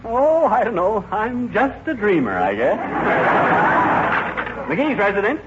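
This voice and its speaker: A folksy, teasing husband from old radio comedy. His high-pitched voice swings up and down with jaunty mischief, on a dull recording. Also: big pitch swings, takes it slowly, heavy background noise, full character voice. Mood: excited. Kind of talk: 1940s radio comedy acting.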